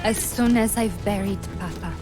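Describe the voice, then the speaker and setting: A woman's voice: medium pitch, normal speed, close to an everyday voice. An actress playing an earnest, determined young woman fighting in a resistance, in a video game cutscene, plain-spoken. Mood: reserved, determined. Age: young adult. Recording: music behind the voice.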